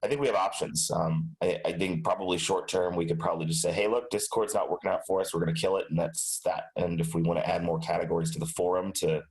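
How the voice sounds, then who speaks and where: A man's voice: sits low, steady tone, quick pace, few pauses, a little mellow, rough recording. A man on a video call, a little stuffed up with a light cold, talking through a team decision with many restarts. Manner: rambling, many restarts and hedges. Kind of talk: casual meeting discussion.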